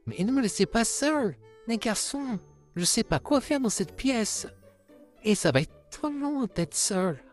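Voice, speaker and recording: A woman voicing a gentle, anxious boy puppet in a comic dub, expressive and sincere. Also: on the high side, measured pace, soft background music. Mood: anxious, lonely.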